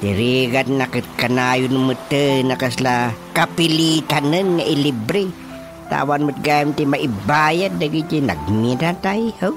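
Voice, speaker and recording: A reluctant, blustering village chairman acted out by a man in a radio comedy drama. He sputters and protests in an Ilocano accent at a middling pitch, over clearly heard music. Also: unhurried, lightly acted. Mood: grumbling.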